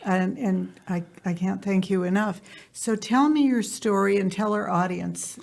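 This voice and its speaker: Female podcast host, mid-pitched voice, effusive, informal, interjects often while interviewing. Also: measured pace, creaky, darkish tone. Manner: effusive, interjecting with short reactions.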